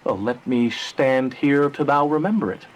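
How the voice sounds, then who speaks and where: A man's voice: medium pitch, moderate pace, natural voice. An actor playing an ardent, lovestruck young nobleman in a Shakespearean radio drama, speaking verse in a romantic, poetic way. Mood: tender, lovestruck.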